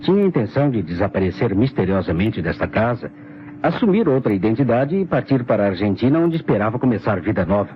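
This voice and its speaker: A man's voice: fairly low pitch, big pitch swings, fast. A Brazilian man playing a defensive lawyer caught as an accomplice, in an old radio mystery. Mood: confessing. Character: defensive male lawyer, confessed accomplice in a prank. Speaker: radio drama actor. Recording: muffled, noisy vintage radio recording.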